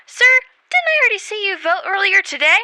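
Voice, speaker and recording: A woman acts out an overconfident field reporter in a comedy newscast. Her voice is high and sharp, with emphatic delivery and a very dry sound. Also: sing-song, fairly quick, dry recording, heavily acted. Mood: surprised. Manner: emphatic on-the-scene news delivery. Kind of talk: mock newscast comedy acting.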